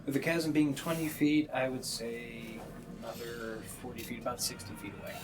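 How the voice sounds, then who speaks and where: A man's voice: medium pitch, level tone, normal speed, noisy background. A man improvising narration as the game master of a tabletop game.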